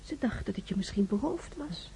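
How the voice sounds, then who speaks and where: An actress playing a worried, motherly woman in a radio play, fussing and gently scolding in a breathy, insistent way. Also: medium pitch, expressive, brisk, a little hiss or hum. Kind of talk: radio drama acting.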